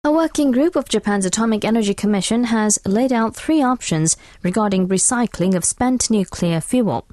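A woman's voice: medium pitch, moderate pace, a little mellow, no echo, studio quality. A woman presenting scripted international news on the radio.

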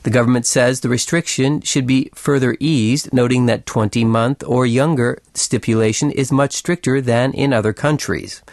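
A man's voice: medium pitch, fairly even intonation, normal speed, hardly any pauses, no room sound, clean studio sound. A man delivering a scripted news report on international radio as a news reader.

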